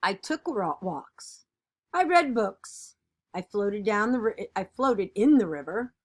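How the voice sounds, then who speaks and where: A woman voicing an earnest, dutiful egg from a children's picture book, with expressive and deliberate storytelling. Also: mid-range voice. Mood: reflective.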